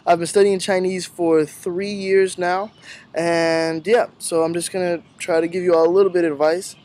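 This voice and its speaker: A man's voice: high-pitched, level tone, normal speed, a little mellow. A young male college student giving friendly, fluent advice to new language students. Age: young adult.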